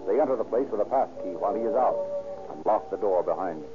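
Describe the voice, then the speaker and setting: Man as fast, dramatic old radio narrator. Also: on the low side, lively intonation, music in the background, natural voice. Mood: urgent, formal. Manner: formal, dramatic. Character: brisk, dramatic male crime-story narrator. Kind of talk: 1930s radio scripted narration. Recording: tinny old radio recording.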